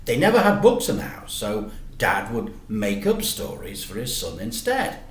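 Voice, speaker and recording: A man narrating a children's story in an expressive, deliberate way. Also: on the low side. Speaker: storyteller, teacher. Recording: roomy, echoey sound.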